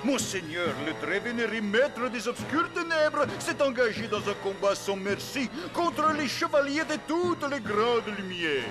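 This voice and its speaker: A man's voice: very high voice, lively intonation, measured pace, hardly any pauses, over music. A man playing a pompous, imperious cyclops henchman in a French cartoon dub, speaking in inverted word order. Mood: menacing, solemn.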